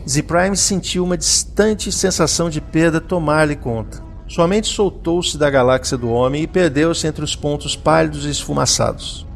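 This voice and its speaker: A man's voice: a little high, normal speed, creaky, few pauses. A man narrating a scripted audio drama in a solemn, literary reading style, with music behind him.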